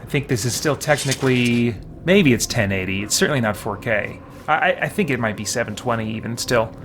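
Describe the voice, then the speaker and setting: A man's voice: medium pitch, fairly quick, a bit bright, a little hiss or hum. A man livestreaming a video game, chatting casually and thinking aloud in a rambling way. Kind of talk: casual chat while playing a video game.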